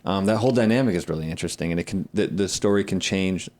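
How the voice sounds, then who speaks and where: A man hosting a conversational interview, thoughtful and halting as he feels his way toward the words. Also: deep voice, darkish tone. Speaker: podcast host.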